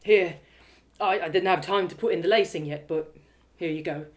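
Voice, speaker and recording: A woman's voice: on the low side, normal speed, close to an everyday voice. A woman voicing a kindly, plain-spoken male cobbler who frets over his friend in a fantasy audio story. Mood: modest. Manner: folksy, plain-spoken, protective. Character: kindly gruff working man, cobbler, worried friend.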